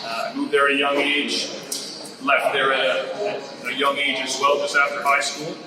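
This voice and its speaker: A man's voice: mid-range voice, flat delivery, normal speed. A Canadian man casually explaining electric cars in an earnest, helpful way at a live event. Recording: echoey room, far from mic, loud background noise.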